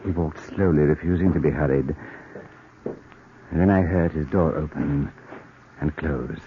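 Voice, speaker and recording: An actor playing a cunning, deranged murderer, confessing in a theatrical, brooding, repetitive 1940s radio-drama style. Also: male voice, very deep voice. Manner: theatrical, brooding, obsessively repeating himself. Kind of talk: 1940s radio acting, first-person narration. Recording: noisy muffled old radio recording.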